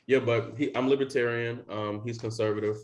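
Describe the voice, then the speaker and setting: A man's voice: mid-range voice, steady tone, brisk. A young man hosting a podcast in casual chat, rambling with lots of fillers. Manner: rambling with many likes and you knows. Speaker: podcast host.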